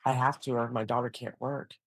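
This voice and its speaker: A woman's voice: rumbling low, steady tone, a little fast, no echo. A middle-aged woman, a defendant answering a judge in short, pleading replies. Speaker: defendant in a court hearing.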